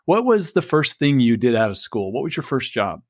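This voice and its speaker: A man's voice: medium pitch, very animated, moderate pace. A man who hosts a podcast, asks questions and reacts in a friendly way. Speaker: podcast host. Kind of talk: casual interview talk.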